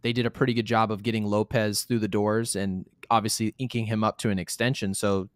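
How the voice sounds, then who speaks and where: A man's voice: fairly low pitch, little pitch movement, brisk, smooth, no room sound, studio quality. A man in his thirties co-hosting a casual sports talk show, speaking bluntly and emphatically, often repeating himself to make a point. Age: 30s.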